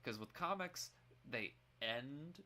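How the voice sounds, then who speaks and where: A stream co-host bantering casually and reacting out loud in a conversational way. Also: medium pitch, animated, slow. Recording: faint background noise under voice.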